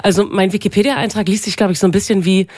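A woman's voice: mid-range voice, steady tone, fast, a little mellow, no room sound, clean studio sound. Chatty self-mocking woman in her forties. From East Frisia, northern Germany. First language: Low German. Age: 40s. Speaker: guest. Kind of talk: casual radio chat.

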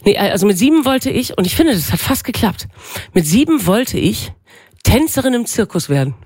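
Woman in her 40s, low german is her first language, chatty, jokes on herself, laughs a lot, dead dry sound. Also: sing-song, moderate pace, a little mellow, slightly rough, no echo. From East Frisia, northern Germany. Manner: chatty and self-mocking, laughs often. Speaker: guest. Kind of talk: casual radio interview chat.

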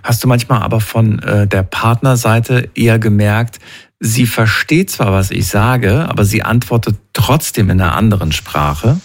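A man with a fairly low voice leads the conversation. His questions are long and thoughtful, and he often checks in with the guest as he goes. The tone stays easy and conversational. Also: lively intonation, moderate pace. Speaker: interviewer. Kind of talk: conversational interview.

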